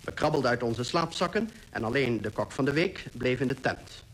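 A man's voice: mid-range voice, animated, fairly quick, a bit bright, close to an everyday voice. A man playing a polar explorer who recounts his expedition in literary, descriptive radio-drama narration. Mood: vivid, descriptive. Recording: faintly noisy old radio recording.

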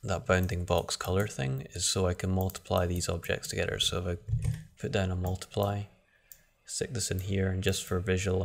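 A man with a low, gravelly voice walks through a software tutorial at his computer. He talks in a flat, relaxed way, thinking aloud and restarting his sentences as he goes. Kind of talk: screen-recorded software tutorial.

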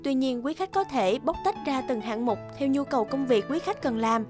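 Casting: woman as polite, businesslike cartoon receptionist, high pitch, formal courtesy, background music. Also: lively intonation, normal speed. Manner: courteous, formal customer-service delivery. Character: polite, brisk female agency receptionist. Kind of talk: animated moral-tale voice acting.

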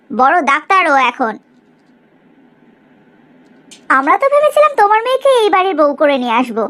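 A woman voicing a proud, sharp-tongued middle-aged village mother in a cartoon story, in an exaggerated, gossipy way. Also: very high voice, heavily acted. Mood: boastful, reproachful. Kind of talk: Bengali cartoon story dialogue.

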